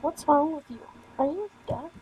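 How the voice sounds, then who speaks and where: A woman's voice: high voice, expressive, normal speed, darkish tone, slight background noise, pitched above the performer's own voice, rough recording. A woman voicing an arrogant, irritable young cat-spirit girl from a visual novel, haughty and snappish. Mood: irritated.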